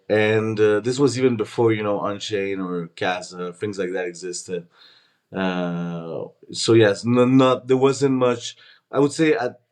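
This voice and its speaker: Low-voiced man in his twenties from Canada. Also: darkish tone. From Canada, born in Peru. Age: 20s. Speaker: startup founder, interview guest. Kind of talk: casual interview conversation.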